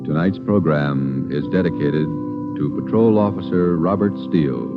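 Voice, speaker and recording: Casting: solemn American male announcer, bottom-register bass, 1940s radio narration, thin narrowband sound. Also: measured pace. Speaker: program announcer. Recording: tinny vintage radio broadcast, loud music.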